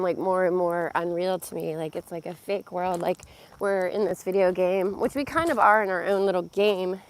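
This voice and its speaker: A middle-aged woman with a hoarse, worn voice, chatting casually to a phone camera while walking outdoors by a pond, rambling with many fillers. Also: medium pitch. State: hoarse, losing her voice. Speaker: vlogger and yoga teacher. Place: outdoors on a nature walk by a pond. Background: crickets and insects outdoors.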